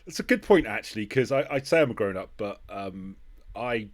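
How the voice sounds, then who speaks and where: A man's voice: fairly low pitch, animated, moderate pace. A man in his forties hosting a casual interview, agreeable and a little hesitant as he talks. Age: 40s. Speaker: podcast host interviewer.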